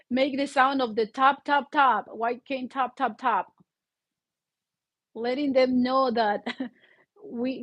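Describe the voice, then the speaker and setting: Woman, Mexican Spanish accent, fairly high voice, sick with flu, earnest casual livestream talk. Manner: earnest, heartfelt, laughs often mid-sentence. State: sick with flu, sore throat. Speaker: YouTube channel host.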